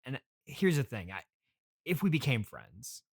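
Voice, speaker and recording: Man, podcast host, medium pitch, hesitant, polite, reluctant, casual improvised comedy chat. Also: very animated, measured pace, slightly rough.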